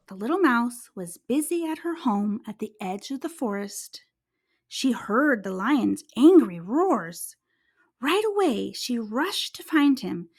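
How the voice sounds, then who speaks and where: A woman reading a children's story aloud as host, with dramatic, careful narration. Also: very high voice.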